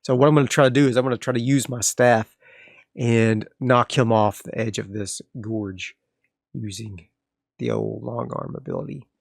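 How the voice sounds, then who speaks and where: A man with a medium-pitched, gravelly voice plays a tabletop role-playing game by himself. He talks through his decisions in a casual way, moving between narration and rules. The sound is close and dry, with little room around the voice. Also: steady tone, moderate pace, no echo. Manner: casual, narrates moves and reads rules aloud. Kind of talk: solo tabletop actual-play commentary, thinking aloud.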